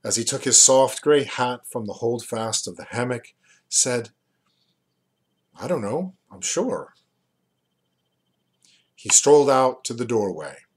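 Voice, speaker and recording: A man in his fifties reading a novel aloud at home in a deliberate, dramatized way. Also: medium pitch. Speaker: reader, poet and book dealer. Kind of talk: expressive literary reading aloud. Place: small indoor room at home.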